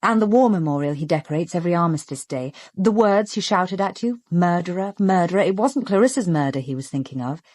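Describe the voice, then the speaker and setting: Composed British woman sleuth, lowish voice. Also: lively intonation, a little mellow, no room sound. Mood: quietly probing. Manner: probing, measured, deliberate. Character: perceptive, composed young woman amateur detective. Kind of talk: radio drama acting.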